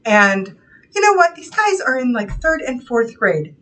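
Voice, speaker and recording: A woman co-hosting a live sewing shop stream, easygoing and quick to laugh. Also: on the high side, very animated, a bit bright, recorded from a distance, rough recording. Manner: easygoing, laughs often, self-deprecating. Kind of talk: casual live-stream chat.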